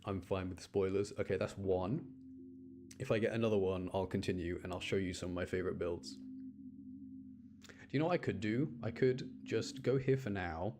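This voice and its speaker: Low-voiced man streaming a video game. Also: normal speed, background noise. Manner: informal, thinking aloud. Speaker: livestreamer. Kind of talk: casual gaming commentary. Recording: game music behind the voice. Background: video game music.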